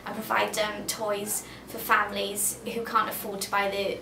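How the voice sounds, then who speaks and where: A woman's voice: medium pitch, level tone, normal speed, creaky, background noise. An eighteen-year-old woman from Pembrokeshire, talking earnestly and with some hesitation about her charity volunteering in an interview. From Pembrokeshire, Wales. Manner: earnest, heartfelt, hesitant with fillers. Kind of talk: documentary interview. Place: indoor room. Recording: echoey room with music over.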